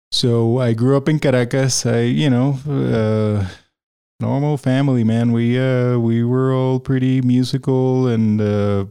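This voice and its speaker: Venezuelan dude on a podcast, smooth resonant voice, rambles with tons of fillers. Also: male voice, on the low side, mellow. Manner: rambling, many fillers and restarts, laughs often. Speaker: podcast guest, freelance video producer. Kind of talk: casual interview chat.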